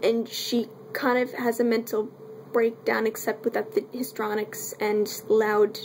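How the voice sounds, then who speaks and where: Woman, high creaky voice, casual book review, candid, irreverent, wry asides, faint background noise. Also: little pitch movement, measured pace, a little mellow.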